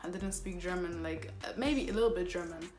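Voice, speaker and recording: A woman's voice: on the low side, fairly quick, a little mellow. A young woman whose first language is Somali tells a rambling, exasperated story in a casual storytime style and keeps correcting herself. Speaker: vlogger. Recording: roomy echo with faint background music.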